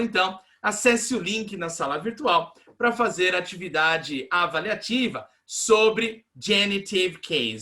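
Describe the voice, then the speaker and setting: Bright, high-voiced male teacher. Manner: explains patiently, often checks in with "né?" and "ok?". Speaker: English teacher. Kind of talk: recorded online class explaining translations.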